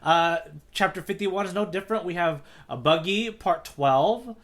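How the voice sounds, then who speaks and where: A man's voice: sits high, normal speed, fairly bright tone. A man co-hosting a casual readalong podcast, chatting in an enthusiastic, playful way. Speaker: podcast co-host.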